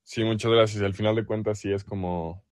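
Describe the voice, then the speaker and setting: A young man with a low-pitched voice and a Mexican accent speaks casually, as a player being interviewed. The sound is dry, with very little room around it. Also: steady tone, a little fast, no room sound. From El Salvador.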